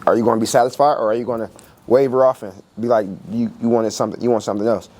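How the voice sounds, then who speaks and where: A man in his late twenties talks casually as a podcast guest, rambling with plenty of fillers and easy laughter. His voice sits at a middle pitch. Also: very fast. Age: 20s. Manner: relaxed, rambling, laughs often, many fillers.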